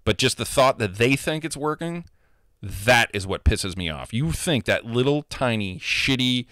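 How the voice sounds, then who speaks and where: A man in his thirties with a deep voice, ranting casually and profanely into a handheld mic held close, full of opinions. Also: a bit bright, no echo. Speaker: gamer vlogger. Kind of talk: casual, ranting monologue to camera. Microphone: handheld microphone held close to the mouth.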